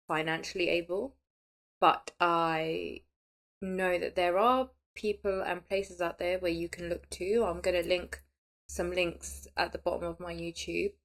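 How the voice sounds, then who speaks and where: A woman in her late thirties talking casually and candidly as the host of a personal podcast, in a chatty, conversational way. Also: on the low side. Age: late 30s.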